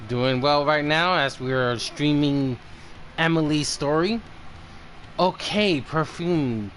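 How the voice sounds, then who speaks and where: A man's voice: a little high, slow, fairly bright tone. A man livestreaming a game, chatting casually and reacting as he plays. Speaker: game streamer. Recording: faint background noise.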